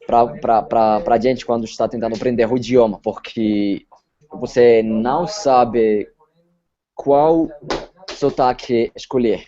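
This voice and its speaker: A man's voice: mid-range voice, steady tone, takes it slowly, warm tone. A Colombian man with a Spanish accent, talking in an interview and often repeating words as he searches for his phrasing. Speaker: interview guest. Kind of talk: casual interview chat. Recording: slightly dull lo-fi sound.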